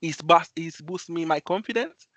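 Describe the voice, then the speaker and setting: A man's voice: fairly high pitch, moderate pace, pushed and bright, no echo. A young man with an Italian accent, a student intern presenting on a video call, speaking hesitantly with many restarts. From Italy. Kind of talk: online presentation. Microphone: video call mic, cutting in and out.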